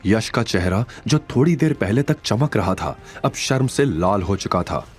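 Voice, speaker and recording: Animated male story narrator over music. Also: on the low side, measured pace. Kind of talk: dramatic scripted story narration. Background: music bed.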